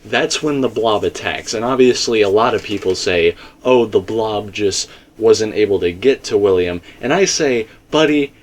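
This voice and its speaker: A man's voice: on the low side, lively intonation, moderate pace. Emphatic man talking through theories. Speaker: video game theorist. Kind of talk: casual theory discussion.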